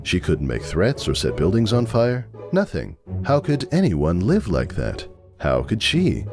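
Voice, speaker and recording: A man's voice: low-pitched, darkish tone. A man giving a scripted dramatic reading of a story as its narrator.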